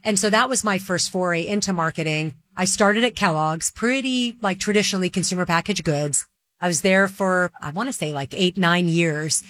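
A woman's voice: fairly low pitch, fairly bright tone, no echo. Chatty middle-aged woman, dry close mic. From Dubai, Middle East. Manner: chatty, quick, with many fillers like "like" and "you know". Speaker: guest, marketing executive. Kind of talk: casual interview answers.

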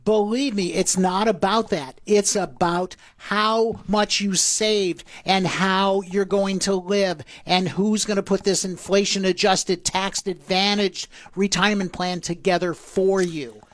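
A man's voice: sits high, steady tone, unhurried, few pauses, a bit bright, dry recording. A man co-hosting a financial radio show, explaining tax planning in a chatty way with fillers and asides. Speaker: radio co-host, financial advisor. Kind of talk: casual radio finance talk.

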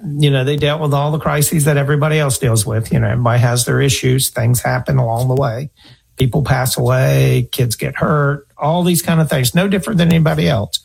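Man in his fifties, gravelly voice, husky tone, earnest advisor talk. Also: mid-range voice, a little mellow, poor audio. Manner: earnest, storytelling, repeats himself. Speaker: financial advisor radio host. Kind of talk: conversational advice talk.

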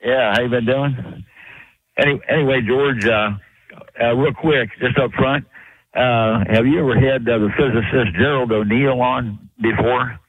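A man's voice: mid-range voice, fairly even intonation, a little slow, husky. A man calling into a radio show who rambles, restarts and fills with hesitations. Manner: rambling, many fillers and restarts. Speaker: caller. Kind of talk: call-in radio talk. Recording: tinny phone line.

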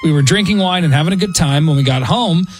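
A man's voice: fairly high pitch, fast talker, breathy edge, faint music behind. A man hosting a radio show, chatting and joking in a rambling way. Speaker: radio morning show host. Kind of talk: casual radio banter. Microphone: studio microphone.

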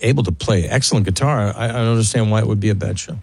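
A man's voice: lowish voice, rapid-fire, vocal fry, a little mellow, breathy edge, faint music behind. A man co-hosting a radio show, chatting easily and asking questions. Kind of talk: casual radio chat.

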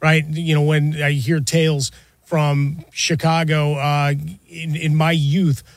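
A man's voice: on the high side, little pitch movement, slow, no room sound. A man co-hosting a sports talk show, reflective and nostalgic as he talks things over casually. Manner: reflective, nostalgic, with fillers and restarts. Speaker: sports podcast co-host.

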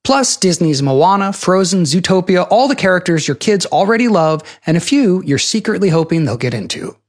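A man's voice: high voice, big pitch swings, normal speed. A man, a podcast co-host, reading a conversational scripted ad. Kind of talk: scripted ad read.

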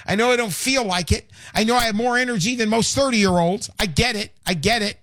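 Male radio pitchman, sixties. High-pitched, emphatic, folksy and boastful, recorded very dry. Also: brisk, a bit bright, no room sound. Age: 60s. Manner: fervent, emphatic, repeats himself. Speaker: radio call-in show host and pitchman. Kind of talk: live radio sales talk.

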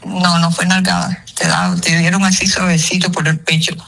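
A woman's voice: fairly low pitch, flat delivery, normal speed, gravelly, fairly bright tone, rough recording. An older woman, the host's mother on the phone, answering in a giggly and affectionate way.

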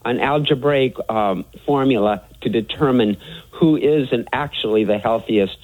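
A man calling in to a radio show, chatting casually in a hesitant, halting way with a husky voice. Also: mid-range voice, dry recording, phone-quality sound. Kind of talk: casual call-in chat.

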